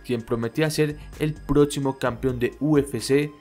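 A young man hosting his channel, reading a scripted sports narration in a steady, informative way into a studio microphone in a small room at home. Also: medium pitch, moderate pace, faint music behind. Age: young adult. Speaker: channel host. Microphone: studio microphone on stand.

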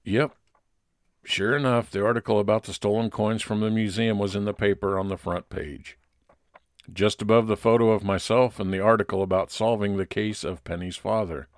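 A private investigator played by a man in an old-time radio detective story. His voice is low and measured, with a wry, matter-of-fact delivery and no room sound. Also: steady tone, dry recording, studio quality, close to an everyday voice. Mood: businesslike. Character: Billy, male private investigator, observant and wry.

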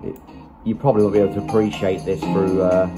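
Flat, low-key man reviewing gear. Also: fast talker, over music. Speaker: video channel host, product reviewer. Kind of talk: casual unscripted product review. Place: small room indoors. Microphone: phone microphone, held behind the camera.

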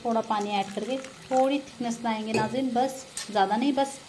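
A woman's voice: fairly high pitch, steady tone, a little fast, background noise. A woman narrating a home cooking tutorial in a friendly, chatty way, often greeting viewers and adding religious blessings as she cooks. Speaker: cooking vlogger. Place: home kitchen, beside a frying stove.

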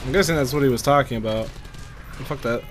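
A man's voice: medium pitch, fast, slight background noise. Profane man reacting through casual gameplay commentary. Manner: profane, reacting aloud, thinking out loud. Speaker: gamer recording a playthrough.

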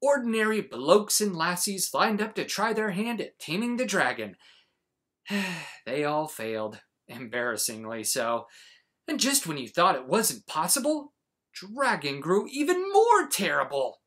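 A man in his forties reading a children's storybook aloud, with dramatic, exaggerated emphasis and pauses. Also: high voice, big pitch swings, a bit bright, slightly rough. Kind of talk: animated storybook read-aloud.

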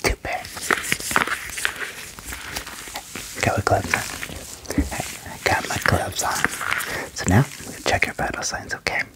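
A young man whispers gently and reassuringly in an ASMR medical roleplay as a doctor, close to the microphone. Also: slow pace, long pauses. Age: young adult. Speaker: ASMR performer role-playing a doctor. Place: small indoor room. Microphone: close desk mic with furry windscreen.

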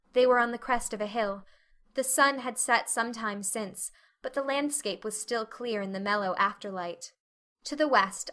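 Bright-voiced woman narrating a novel. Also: mid-range voice. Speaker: audiobook narrator. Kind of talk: dramatic scripted narration of a novel.

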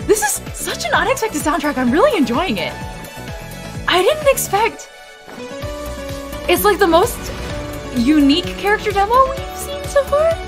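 A woman's voice: very high voice, sing-song, normal speed, pauses often, music in the background. A young woman chatting casually and expressively as a streamer reacting to a game trailer, with a playful way of talking. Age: young adult. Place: indoor room, livestream webcam.

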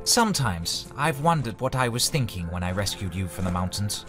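A man playing a composed, noble knight commander in a fantasy audio drama, formal with gentle teasing. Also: fairly low pitch, very animated, moderate pace, over music. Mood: wry, teasing.

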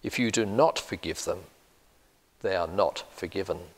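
Older male reader, slow, deliberate scripture reading with church reverb. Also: sits low, husky. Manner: steady, deliberate reading aloud. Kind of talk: Bible reading. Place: church, indoors, seated at a lectern.

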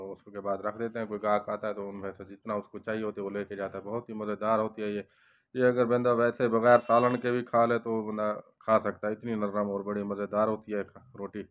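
A young man from Pakistan vlogging inside a bakery, speaking slowly and devoutly and repeating blessings, recorded on a handheld phone. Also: on the low side. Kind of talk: casual walk-through vlog with prayers. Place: bakery shop indoors.

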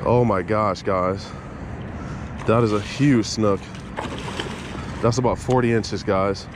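A man with a fairly low voice talks viewers through his fishing from a small boat out on open water. He keeps up an eager running commentary. His head-mounted camera picks up clear boat and water noise around him. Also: steady tone, slow pace. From Florida. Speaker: fishing vlogger. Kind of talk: first-person fishing narration. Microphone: head-mounted action camera.

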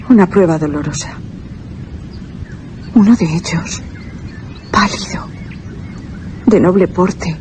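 A woman's voice: medium pitch, darkish tone, slight background noise, close to an everyday voice. An actress playing an innocent, earnest, superstitious young Greek peasant woman in a Spanish radio drama. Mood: pleading, ominous.

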